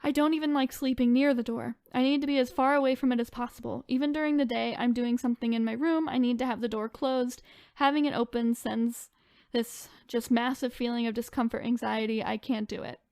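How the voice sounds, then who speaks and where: A woman's voice: fairly high pitch, steady tone, a little fast, a little mellow, smooth, dry recording, studio quality. A woman co-hosting a podcast, reading online stories aloud and chatting in an informal, conversational way. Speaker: podcast co-host.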